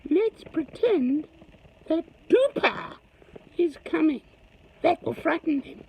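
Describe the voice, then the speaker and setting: A man voicing Bleep, a small excitable creature living in chimneys, in a very high, squeaky voice. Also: slow pace, creaky, exaggerated voice, low-quality recording. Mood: eager. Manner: shrill, excitable.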